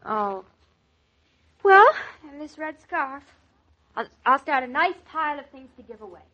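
An American actress playing a playful, willful young newlywed wife in a 1940s radio drama, impulsive and teasing in manner. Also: female voice, sits high, big pitch swings, a little slow, bright, forceful tone, muffled recording, full character voice. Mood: enthusiastic, dithering. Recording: old 1940s radio recording.

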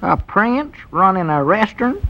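A puzzled, literal-minded country sidekick in a 1940s-style radio comedy. His voice sits fairly high for a man, with a hesitant rural Southern American twang and folksy wording. It comes through as dull, lo-fi vintage broadcast sound. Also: big pitch swings, moderate pace, creaky, exaggerated voice. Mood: puzzled, wary.

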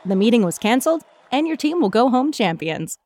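A woman playing an excited sports sideline reporter in an ad, with a punchy interviewing manner. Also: a little high, fairly quick. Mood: eager, excited. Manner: punchy, animated.

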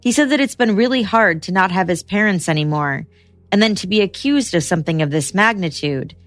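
A woman hosting a podcast, narrating a true-crime case in steady scripted storytelling. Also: mid-range voice, fairly quick, no echo, faint music behind.

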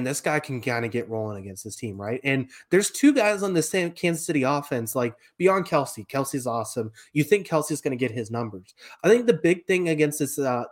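A man with a mid-pitched voice talks football as a podcast co-host. He works through matchups and players in a conversational, analytical style.

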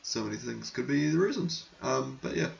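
A man hosting a casual hockey podcast and answering listener questions. He is losing his voice, clears his throat often, and talks in a rambling, frank, sometimes crude way. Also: medium pitch, expressive, normal speed, muffled recording. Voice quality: gravelly, croaky.

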